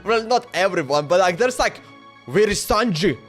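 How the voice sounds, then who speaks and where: High-voiced young man rambling on stream. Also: animated, normal speed, a bit bright, soft background music. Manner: rambling, slangy, stammering repetitions. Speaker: livestreamer playing a game. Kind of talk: casual livestream chat.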